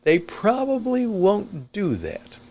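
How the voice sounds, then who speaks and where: An older man hosting an opinion podcast, speaking off the cuff in a deliberate, emphatic way and repeating phrases for stress. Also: high voice, huge pitch swings, a little mellow. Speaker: podcast host, veteran broadcaster. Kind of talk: unscripted opinion monologue. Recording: dull lo-fi sound.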